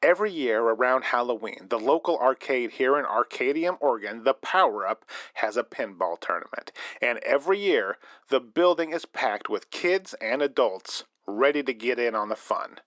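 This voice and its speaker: A man's voice: mid-range voice, animated, moderate pace, few pauses, a bit bright, no room sound, lightly acted. An actor playing a male radio host narrating a spooky story in scripted style. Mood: storytelling.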